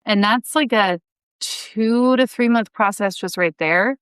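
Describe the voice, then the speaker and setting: A woman's voice: mid-range voice, measured pace, a bit bright, clear, resonant tone, no echo, clean studio sound. A woman in her thirties speaking enthusiastically and emphatically as an interview guest, in a conversational way. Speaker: podcast guest, audio drama writer.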